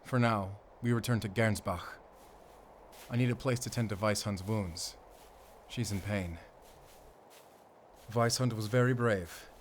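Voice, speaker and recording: An actor playing a mysterious, confident monster hunter with a German accent in a gothic horror audio roleplay, speaking in a measured way to a companion. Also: male voice, fairly low pitch, a little hiss or hum, lightly acted. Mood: grim, explaining.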